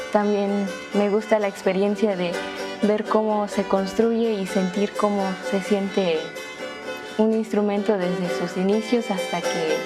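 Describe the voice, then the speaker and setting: A woman musician speaking earnestly and reflectively in a documentary interview. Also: mid-range voice. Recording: music bed under the voice.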